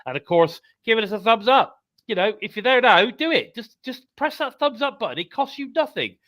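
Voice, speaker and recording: British male podcast host, stuffed-up nose, bright upbeat voice, dry close mic. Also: very high-pitched, big pitch swings, brisk, dry recording. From United Kingdom. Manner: chatty, upbeat, repeats calls to subscribe. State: congested, bunged up. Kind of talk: live wrestling podcast chat.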